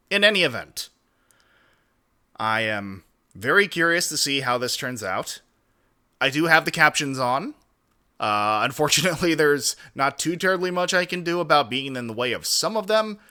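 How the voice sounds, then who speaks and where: A man's voice: medium pitch, very animated, moderate pace, bright, forceful tone. A man hosting a reaction stream with casual, wordy commentary and mildly formal, roundabout turns of phrase.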